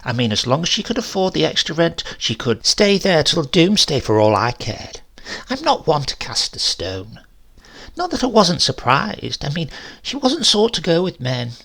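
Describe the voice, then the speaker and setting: A man's voice: a little high, sing-song, moderate pace, vocal fry, fairly bright tone, breathy edge, voice pushed up, full character voice. A man voicing a chatty, defensive landlady of nearly seventy in a horror story reading, rambling and confiding in colloquial phrases. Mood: gossipy, insistent. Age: older.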